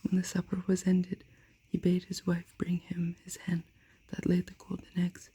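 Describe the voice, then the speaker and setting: A woman softly whispering a fairy tale aloud, as in an ASMR reading. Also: lowish voice, completely flat delivery, moderate pace. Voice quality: breathy. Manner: soft unvoiced whisper. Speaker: storyteller.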